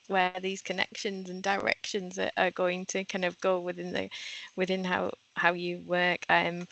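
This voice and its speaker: A woman moderates a panel and reflects aloud before handing over questions. Her voice is bright and tense, with a gravelly edge, and her pitch stays flat. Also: poor audio.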